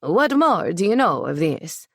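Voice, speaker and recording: A woman's voice: on the low side, sing-song, vocal fry, slightly rough, no echo, studio quality, heavily acted. Woman doing a stern old Italian patriarch. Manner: stern, measured, commanding. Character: older Italian man, stern crime family head.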